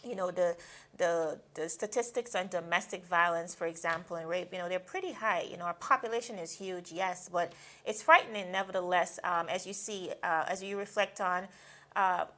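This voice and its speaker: A woman's voice: lowish voice, normal speed, bright, forceful tone. Woman from guyana reading the news then chatting w co-host, earnest, some ums and uhs. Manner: earnest, with frequent fillers. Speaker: news anchor.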